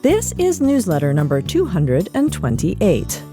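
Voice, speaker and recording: A woman hosting a podcast in an animated, emphatic presenter style. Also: fairly low pitch, a little slow, music in the background. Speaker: podcast host. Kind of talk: scripted podcast narration and interviewing.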